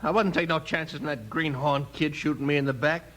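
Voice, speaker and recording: An American man playing a defiant, cowardly outlaw with rough frontier grammar in a radio western. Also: fairly high pitch, fairly even intonation, brisk, a bit bright, lightly acted. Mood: shifty, sullen. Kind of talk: 1950s radio western acting. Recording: crackly old radio transcription.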